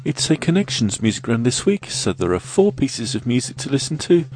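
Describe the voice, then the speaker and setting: A man hosting an audio quiz podcast, reading the questions in a measured way, his voice a little croaky from a cold. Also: medium pitch, big pitch swings. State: has a cold, slightly croaky. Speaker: quiz host.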